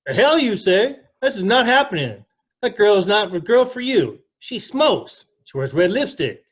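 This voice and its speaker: A man's voice: high voice, sing-song, moderate pace, husky, heavily acted. A man playing a stern, hot-tempered country father in an amateur play read over a video call. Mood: angry, scolding.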